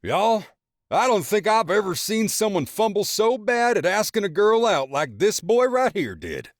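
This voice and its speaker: A man doing a loud, boastful father who roars with glee while roasting his son, his voice shooting high and swinging wildly. The recording is dry and close, with no room sound. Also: sing-song, moderate pace, no echo. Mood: gleeful mocking. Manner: loud, mocking, laughing storyteller. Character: boastful teasing middle-aged father, loud.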